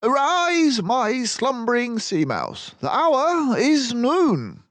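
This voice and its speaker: A man voicing a brisk, confident, jaunty gentleman detective mouse from a children's storybook, with theatrical self-assurance. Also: very high voice, sing-song, no room sound, pitched above the performer's own voice, exaggerated voice. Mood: cheerful, pleased.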